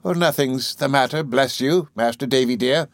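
A man's voice: mid-range voice, lively intonation, moderate pace, dry recording, pitched above the performer's own voice, lightly acted. A man voicing a kindly, flustered, motherly housekeeper in an audiobook, agitated, affectionate and faltering. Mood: anxious affection. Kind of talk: audiobook character dialogue.

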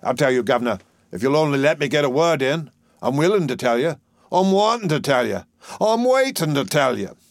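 A man voicing an elderly working-class dustman in a play reading, expressive, rhetorical and wheedling. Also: on the high side, a little fast, no room sound, studio quality, voice pushed up. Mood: earnest, wheedling. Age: older.

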